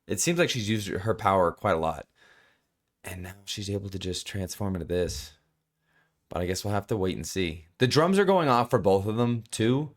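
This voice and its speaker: Male reviewer, low voice. Casual off-the-cuff commentary, halting and rambling, circles back over his own phrases. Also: expressive. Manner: rambling, halting, repeats himself. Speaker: manga chapter reviewer.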